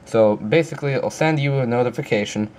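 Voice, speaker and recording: A man's voice: medium pitch, brisk, a little mellow. A man giving a casual, informal review walkthrough in a plain-spoken explaining manner. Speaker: app reviewer.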